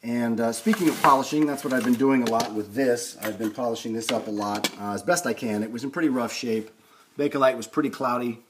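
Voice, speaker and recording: A man's voice: mid-range voice, fairly even intonation, brisk, noisy background. A man giving a casual, unscripted hands-on repair demonstration, thinking aloud as he works. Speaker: host of a vintage record player restoration video series.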